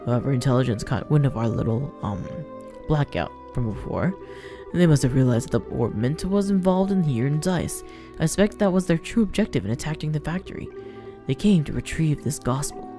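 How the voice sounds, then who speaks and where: A woman voicing a grave old male professor from a fantasy game in a measured, formal manner. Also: low voice, animated, over music.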